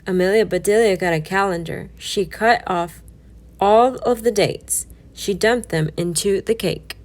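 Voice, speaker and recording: A woman narrating a children's storybook aloud in an expressive, scripted read-aloud style. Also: medium pitch, a little slow.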